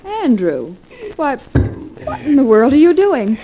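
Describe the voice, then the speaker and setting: A woman's voice: high-pitched, very animated, takes it slowly, highs cut off, exaggerated voice. A woman playing an earnest young American bride in a theatrical 1940s radio drama style. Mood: curious, gracious. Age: young adult. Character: young bride, eager, sweet and easily frightened. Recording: noisy old 1940s radio recording.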